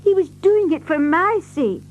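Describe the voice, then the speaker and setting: An actress playing a distraught, protective servant's wife in an audio drama, earnest and pleading. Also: female voice, extremely high pitch, lively intonation, measured pace, narrow, tinny audio, a little hiss or hum. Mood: pleading, anguished. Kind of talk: radio-play dramatic acting.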